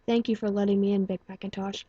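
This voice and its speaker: A woman voicing a taciturn older male pony doctor who speaks in a measured, cool, stern way. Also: medium pitch, level tone, warm tone, breathy edge, dry recording, highs cut off, lightly acted.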